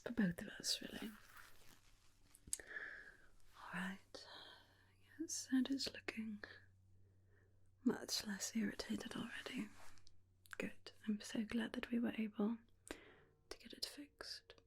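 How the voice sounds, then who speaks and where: A woman voicing a tender, protective, faintly menacing vampire companion in a soft, soothing ASMR roleplay whisper. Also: on the high side, husky, heavily acted. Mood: tender, reassuring. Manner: slow, soothing, coaxing with endearments. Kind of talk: ASMR roleplay, personal attention.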